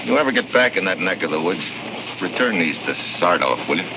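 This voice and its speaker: An actor playing a tough, wry American undercover agent in a vintage 1950s adventure drama, terse and deadpan. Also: male voice, deep voice, a little fast, noisy background. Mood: relaxed, wry. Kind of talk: 1950s hard-boiled adventure acting. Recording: vintage 1950s TV soundtrack, music behind.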